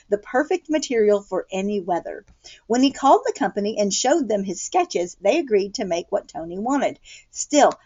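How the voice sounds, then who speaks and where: A woman with an American accent reading a picture book aloud to children, pausing to explain things in simple words. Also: medium pitch, darkish tone, dull audio.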